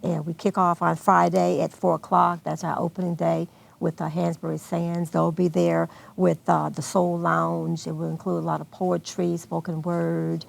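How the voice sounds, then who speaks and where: A woman with an American accent speaks in a notably low register. Her voice is very creaky and gravelly. She answers as an event organizer in an unscripted television interview. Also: level tone, normal speed. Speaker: guest, arts festival organizer.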